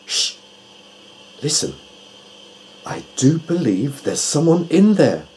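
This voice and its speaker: A man's voice: on the high side, very animated, takes it slowly, darkish tone, slight background noise, voice pushed up. A man voicing an exacting, haughty owl in a children's story, speaking precisely and emphatically. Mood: urgent, hushed. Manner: emphatic, precise, self-assured. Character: male owl with keen hearing, exacting and haughty.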